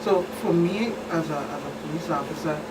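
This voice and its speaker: A woman's voice: low-pitched, brisk. Caribbean woman on a distant, noisy mic. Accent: Virgin Islands Caribbean. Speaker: police officer, expert guest. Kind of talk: talk show interview, explaining cases. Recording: distant mic, clearly noisy room with hum.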